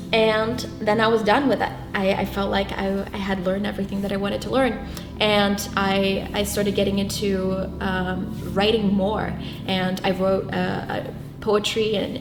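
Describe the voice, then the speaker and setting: A young woman from Brazil, whose first language is Portuguese, talks through her path in an interview, earnest and halting with many restarts. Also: medium pitch, echoey room, long reverb tail. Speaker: guest. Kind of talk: reflective interview talk.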